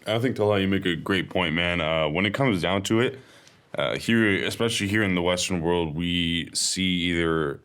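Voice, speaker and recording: A man with a very deep, low voice talks through his views in a relaxed podcast panel. He hedges often and pauses on fillers as he works out his points. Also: clear, resonant tone. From South Asia. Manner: thoughtful, hedging with fillers. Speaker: podcast co-host. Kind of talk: casual panel discussion.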